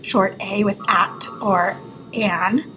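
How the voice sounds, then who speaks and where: A woman's voice: mid-range voice, fairly even intonation, slow, pushed and bright, faint music behind, rough recording. A woman giving an informal, explanatory walkthrough of an educational website as a reviewer. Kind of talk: casual screen-recorded walkthrough. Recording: clear background noise.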